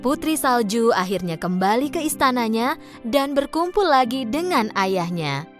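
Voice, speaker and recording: Female narrator for a children's cartoon, expressive storybook reading with a lively, fairly high voice. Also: measured pace, music in the background.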